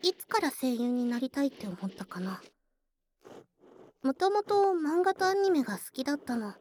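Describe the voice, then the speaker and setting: A woman's voice: high-pitched, darkish tone, a little hiss or hum. A young woman playing an earnest, bashful childhood friend who works as a cafe waitress, confiding in a girlish way in an intimate Japanese ASMR voice drama. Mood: passionate, dreamy. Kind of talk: Japanese ASMR situation voice drama acting, intimate one-sided conversation.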